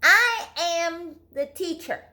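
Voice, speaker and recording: An eager, bossy little storybook girl, played by a woman. The voice leaps very high and slows down for emphasis, gushing with excitement. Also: reverberant, exaggerated voice. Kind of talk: children's storybook read-aloud.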